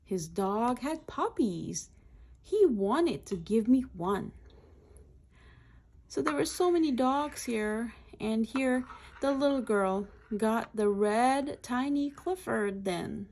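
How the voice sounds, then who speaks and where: A woman's voice: a little high, expressive, slow, darkish tone. A woman reading a children's picture book aloud in short, simple phrases, adding explanatory asides.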